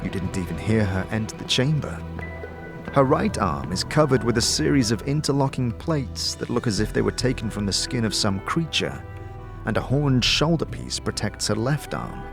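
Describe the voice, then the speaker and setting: A man narrating a fantasy audio drama in a measured, theatrical storytelling manner, addressing the listener directly. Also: fairly low pitch, animated, creaky, over music.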